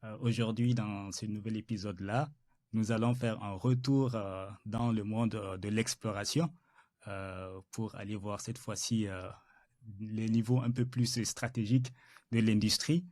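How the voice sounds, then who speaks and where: A man's voice: on the low side, fairly even intonation, a little slow, a little mellow, dry recording. A man hosting an interview podcast, speaking hesitantly with many filler sounds and restarts. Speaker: podcast host.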